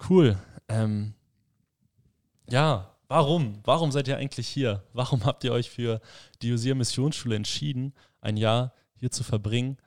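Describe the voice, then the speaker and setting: A man's voice: medium pitch, moderate pace, dry recording, clean studio sound. A man of twenty-one hosting a podcast, asking questions in an easygoing way with lots of fillers. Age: 20s. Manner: relaxed, friendly questioning with many fillers. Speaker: podcast host, leader at a mission school. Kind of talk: casual podcast conversation.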